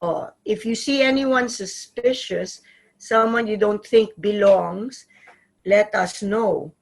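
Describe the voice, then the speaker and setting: A woman acting a gentle, placating wife and mother in a staged play reading. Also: medium pitch, slow pace, creaky. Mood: reading aloud. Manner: soothing, hesitant.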